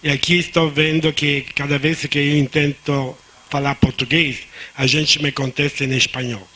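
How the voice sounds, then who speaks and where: A man's voice: fairly high pitch, little pitch movement, moderate pace, pushed and bright. A man with an Italian accent, a native Italian speaker, giving unscripted remarks as a discussant on a conference panel, often restarting words and slipping into Spanish. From Italy.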